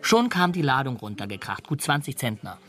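An actor playing a brooding, superstitious and pedantic criminal drifter in a radio drama, intense and uneasy. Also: male voice, mid-range voice, very animated, brisk, fairly bright tone.

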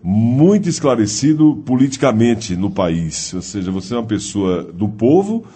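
A man's voice: lowish voice, very animated, moderate pace, dark tone, husky. A man hosting a radio interview program, chatty and self-deprecating, laughing at himself as he talks with a singer in a radio studio. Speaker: radio show host.

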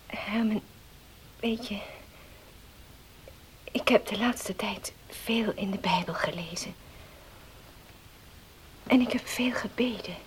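An actress playing a frail, devout young wife in a radio drama, breathy, solemn and halting. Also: female voice, medium pitch, close to an everyday voice, rough recording. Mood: weak, devout. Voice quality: breathy, often near whisper. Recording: hissy old radio play recording.

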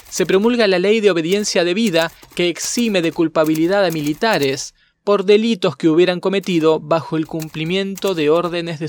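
A man narrating a documentary chronology from a script in a measured, formal way. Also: on the high side.

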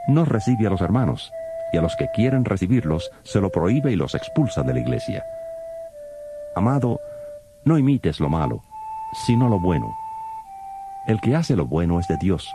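Deep-voiced man reading scripture solemnly. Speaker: Bible reader. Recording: guitar music under the voice. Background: plucked guitar music.

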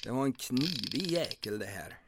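An actor playing a boastful, quick-tempered rural safecracker in an audio drama, with a folksy manner. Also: male voice, medium pitch, lively intonation, heavy background noise, close to an everyday voice. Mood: folksy, smug. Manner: folksy, boastful, flares into shouting.

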